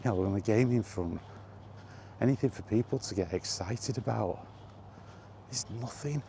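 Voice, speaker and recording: Low, mellow man, weary rambler. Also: moderate pace, creaky, slight background noise. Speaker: vlogger. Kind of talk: freewheeling casual monologue. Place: walking while talking, ambient noise behind.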